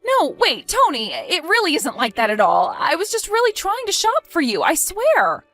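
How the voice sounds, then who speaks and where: A woman's voice: very high-pitched, huge pitch swings, moderate pace, a bit bright, faint music behind, full character voice. A woman playing a desperate, defensive cheating wife in an acted audio drama, pleading and evasive. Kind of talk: acted audio story dialogue.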